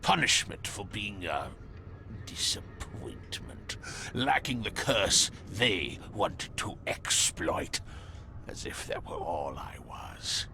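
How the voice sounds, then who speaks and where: Theatrical zealous cultist, creaky and intense. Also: male voice, low voice, very animated, noisy background, full character voice. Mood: bitter. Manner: theatrical, formal, measured, often dropping to a breathy murmur. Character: zealous, haughty male cultist driven by destiny. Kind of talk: dramatic video game cutscene acting.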